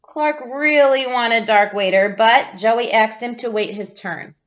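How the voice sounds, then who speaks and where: A woman reading a children's picture book aloud with expressive storytelling narration. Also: fairly high pitch, takes it slowly, highs cut off.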